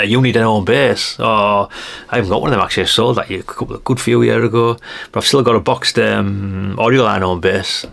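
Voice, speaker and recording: Low gravelly man, chatting. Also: a bit bright. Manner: chatty, down-to-earth. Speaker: hobby radio vlogger. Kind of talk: casual rambling show-and-tell vlog.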